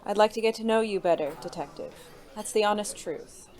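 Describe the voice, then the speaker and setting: A woman's voice: mid-range voice, a little fast, a little mellow, close to an everyday voice. A woman playing a suave, smug male murder suspect in a noir audio drama, arch and refined, flirtatious with an edge of danger. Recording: faint music behind the voice.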